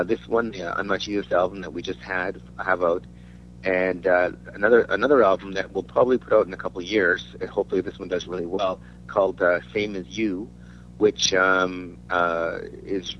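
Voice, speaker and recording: Male musician from Denmark, low voice, halting interview chat, muffled recording with faint hum. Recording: dull lo-fi audio with faint hum.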